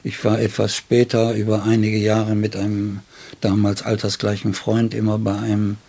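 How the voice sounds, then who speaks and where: An older man with a husky, fairly low voice, from northern Germany. He reflects and tells stories in conversation, choosing ornate words and often tagging his sentences with a short questioning word. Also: monotone, normal speed, warm tone. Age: 60s or older. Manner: ornate wording with frequent "ne?" tags. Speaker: writer being interviewed. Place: indoors at his home.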